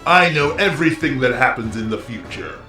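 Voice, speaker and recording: A man's voice: medium pitch, animated, brisk, bright, forceful tone. A man acting an arrogant, menacing robot hedgehog villain in a fan audio drama, grandiose and commanding. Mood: cold, menacing. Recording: echoey, music underneath.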